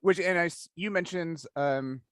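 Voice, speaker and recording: A man hosting a casual interview, speaking in a halting, self-correcting way. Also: on the high side, a bit bright, smooth, no room sound, clean studio sound. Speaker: podcast host and interviewer. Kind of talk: casual interview intro and chat.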